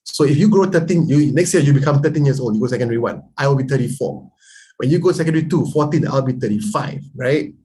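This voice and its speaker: A man leads a live online math lesson. His voice is slightly husky and sits at an ordinary middle pitch. He keeps up a chatty teaching patter and fires quick questions at his students. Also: dark tone. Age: 30s. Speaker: math tutor.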